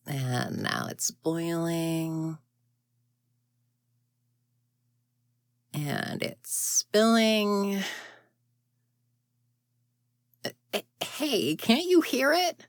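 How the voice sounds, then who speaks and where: A woman's voice: mid-range voice, very animated, slow, dry recording, clean studio sound. A woman playing an exasperated ghost girl in an audio roleplay, huffy and indignant, often muttering to herself. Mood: flustered, indignant. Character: grumpy, exasperated tsundere ghost girl haunting a house.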